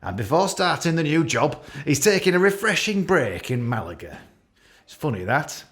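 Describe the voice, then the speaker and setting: A man's voice: fairly high pitch, big pitch swings, normal speed, fairly bright tone. A man playing an awkward, catty office boss with a British accent, giving a hesitant, rambling, jokey leaving speech in a radio play. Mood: awkwardly jovial. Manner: hesitant, repetitive, jokey with barbed asides.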